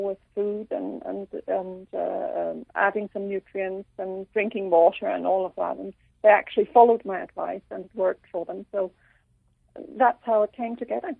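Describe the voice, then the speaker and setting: A woman from Switzerland with a slight Irish accent, a radio interview guest who talks haltingly with many pauses and restarts. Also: medium pitch, vocal fry, husky, no echo. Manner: halting, full of ums and restarts. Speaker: guest author. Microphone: telephone. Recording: tinny phone line.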